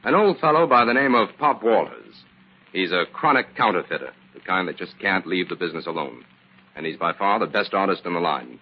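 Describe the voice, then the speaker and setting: An actor playing a calm, shrewd scientific detective in an old-time radio drama, measured and assured as he explains. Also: low voice, husky, muffled recording, full character voice. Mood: assured, explanatory.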